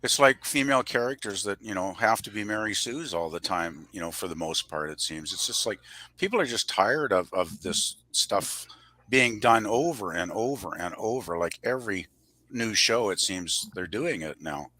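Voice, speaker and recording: A man on a casual livestream panel who talks in a halting, rambling way, often saying "you know". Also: on the low side, animated, pushed and bright.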